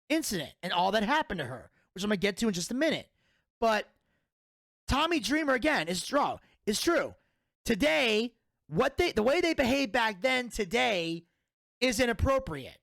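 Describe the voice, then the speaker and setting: A man's voice: sits high, creaky, fairly bright tone, no room sound, clean studio sound. A man in his thirties hosting a solo podcast, giving a blunt, profane and opinionated review. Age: 30s. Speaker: podcast host.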